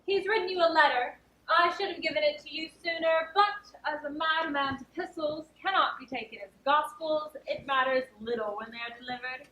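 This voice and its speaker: A woman's voice: high voice, a little fast, sharp tone, slight background noise. A woman playing a cheeky, mock-solemn jester serving a noblewoman in a theatrical stage comedy. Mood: playful, wry. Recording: roomy, echoing stage sound.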